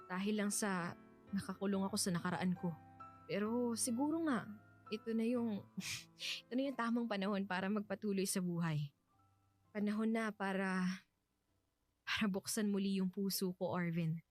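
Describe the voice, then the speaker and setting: A woman with a Filipino accent playing a tender widow in a radio drama, softly and hesitantly opening her heart to love again. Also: medium pitch, lightly acted. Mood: emotional, hopeful. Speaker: radio drama actress and narrator.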